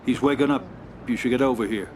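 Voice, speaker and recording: A man's voice: medium pitch, fast, noisy background, natural voice. Man playing a composed, shrewd mob adviser. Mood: grave. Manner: matter-of-fact, instructing. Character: shrewd, composed male American mob consigliere. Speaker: mob lawyer and adviser character. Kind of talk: dramatic video game cutscene acting.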